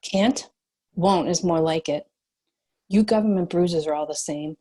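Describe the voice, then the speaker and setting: A woman's voice: lowish voice, a little slow, warm tone. Woman acting a terse, defiant female cop. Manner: terse, combative. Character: defiant, stubborn female bounty cop, weary and prickly. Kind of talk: screenplay table read.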